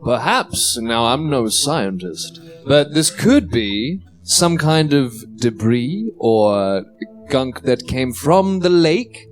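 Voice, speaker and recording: A man voicing a pompous but humble amateur investigator in a tabletop game, in a halting, mock-formal way. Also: medium pitch, lively intonation, faint music behind. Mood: pondering.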